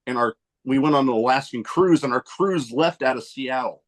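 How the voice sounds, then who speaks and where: Male host, mid-pitched, easygoing, agreeable, casual podcast talk. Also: normal speed. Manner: easygoing, agreeable, brief acknowledgements; navy veteran.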